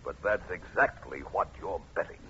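A man acting a cool, determined detective in an old radio drama, with measured, menacing, dryly confident delivery. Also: low voice, a bit bright, soft background music. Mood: controlled anger. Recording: muffled, noisy old radio recording.

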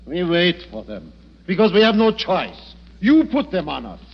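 A menacing, agitated male criminal in a 1950s radio drama. His high voice lurches theatrically up and down. The old broadcast sound is muffled. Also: very animated, moderate pace, exaggerated voice. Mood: bitter, accusing. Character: hot-tempered, menacing criminal man cornered by police.

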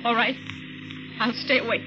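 A woman's voice: a little high, flat delivery, moderate pace, over loud music, close to an everyday voice. An actress playing an anxious, polite woman in a 1940s radio thriller, hesitant and pleading, with a breathless manner. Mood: frightened. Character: anxious, polite young woman, a frightened late-night passenger. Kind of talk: 1940s radio thriller acting, first-person narration. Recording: muffled, very noisy old radio recording.

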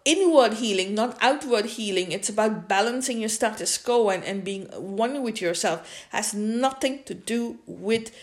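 A woman's voice: medium pitch, a little slow, hardly any pauses. A woman giving an informal opinion monologue as a podcast host, emphatic and repeating phrases for stress. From India.

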